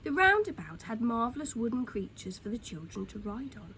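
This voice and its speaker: A woman narrates a children's storybook in a high, very animated voice. She is dramatic and expressive, hushing for suspense. There is clear noise behind her. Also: a little fast. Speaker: storytime reader.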